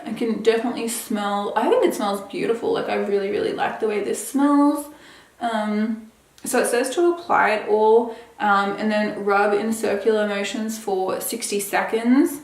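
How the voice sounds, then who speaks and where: A woman's voice: mid-range voice, steady tone, a little slow, echoey room. A young adult woman casually reviewing a skincare product, chatty, with plenty of fillers. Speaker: beauty vlogger.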